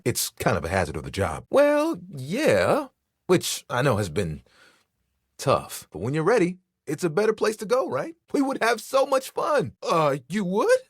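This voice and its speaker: A man playing an easygoing, proud and sentimental living towel in a video game, with a husky, expressive and earnest delivery. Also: sits high, no echo, studio quality, heavily acted. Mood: excited, warm. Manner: laid-back, expressive, earnest, playfully boastful. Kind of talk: video game character dialogue.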